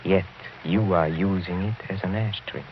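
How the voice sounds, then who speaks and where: A dull, hissy old radio recording carries a sinister older count. His voice is deep and deliberate, with polished courtesy laid over menace. He speaks as a decadent nobleman in a horror play. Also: fairly even intonation. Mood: darkly fervent. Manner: suave, chuckling, deliberate menace with courteous hesitations. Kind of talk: radio horror drama acting.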